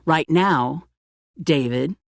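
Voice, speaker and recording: An actress playing a calculating, self-righteous leader of a survivor community in a video game, speaking in a measured, condescending, politician-like way. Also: female voice, low voice, gravelly, no room sound, studio quality, exaggerated voice. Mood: composed, authoritative. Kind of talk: dramatic video game voice acting.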